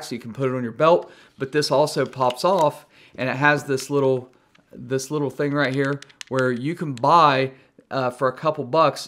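A middle-aged American man talks through a product review in a casual way. His voice sits at an average pitch. He is plain-spoken and conversational, like a video host explaining gear to viewers. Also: fairly bright tone.

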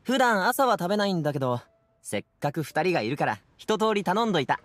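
A man's voice: high-pitched, sing-song, quick pace, a bit bright. A man voicing an easygoing but headstrong young man in an anime game, speaking in a casual, youthful way. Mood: friendly, generous. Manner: casual youthful masculine speech.

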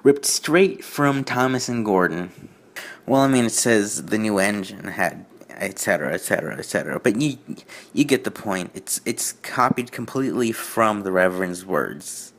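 A man hosting a comedic fan fiction reading, with sarcastic, nitpicking commentary delivered haltingly. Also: lowish voice, animated, vocal fry, a bit bright.

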